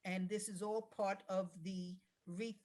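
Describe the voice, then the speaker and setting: A woman's voice: medium pitch, steady tone, takes it slowly, darkish tone. A woman speaking as an election candidate, answering forum questions in an emphatic, blunt way. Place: online video call.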